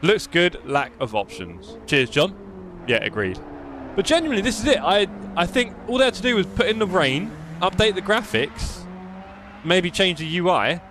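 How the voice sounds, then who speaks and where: A man's voice: sits high, animated, normal speed, noisy background. A man with a London accent chatting and joking with his live stream chat while playing a racing game. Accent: London English. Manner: chatty, jokey, reads chat aloud.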